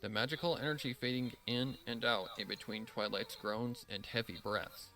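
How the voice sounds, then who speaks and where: A man's voice: mid-range voice, steady tone, moderate pace, sharp tone, poor audio. A man reading a scripted story as narrator, with a solemn, dramatic delivery. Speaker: narrator reading fan fiction.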